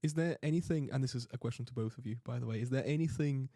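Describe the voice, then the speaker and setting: A man's voice: medium pitch, quick pace, mellow, no echo, clean studio sound. A young man hosting a student podcast, reading scripted links and asking questions with some hesitant restarts. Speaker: podcast host.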